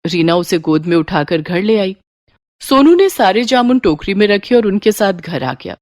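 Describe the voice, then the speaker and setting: Woman, story narrator, scripted delivery, lively pitch movement, dry recording. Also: moderate pace, no echo.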